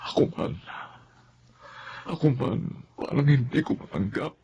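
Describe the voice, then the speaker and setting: A man's voice: mid-range voice, lively intonation, muffled recording, slight background noise. An actor playing a burdened, grieving father, speaking emotionally and heavily in radio melodrama style. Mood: weary grief. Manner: heavy, emotional, halting delivery. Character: grieving father, burdened, pleading with his wife to accept loss. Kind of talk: Filipino radio melodrama acting.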